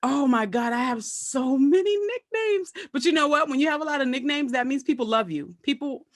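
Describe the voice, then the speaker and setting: A woman with a Brooklyn accent, a comedian guest chatting casually and telling animated stories with frequent laughter. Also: sits high, fairly quick, no echo, studio quality. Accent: Brooklyn, New York. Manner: laughs often, animated storytelling. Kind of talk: casual interview chat.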